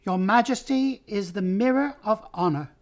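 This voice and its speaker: Man putting on a shrill, proud little talking mouse. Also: moderate pace, natural voice. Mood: proud, courtly. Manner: formal, ceremonious. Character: small valiant talking mouse, proud, courtly, touchy about honor.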